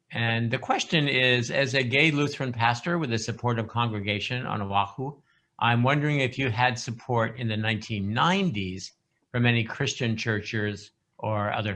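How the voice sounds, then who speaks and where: A man moderating a video call panel, reading audience questions in a measured way. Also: medium pitch, pushed and bright. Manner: measured, brief murmured acknowledgements.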